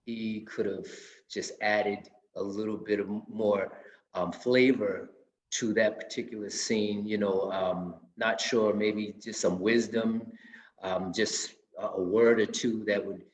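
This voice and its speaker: Man w lowish voice giving hesitant feedback, echoey room, muffled audio. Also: steady tone, slow pace, slight background noise. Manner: tentative, roundabout. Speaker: actor. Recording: echoey, dull lo-fi sound.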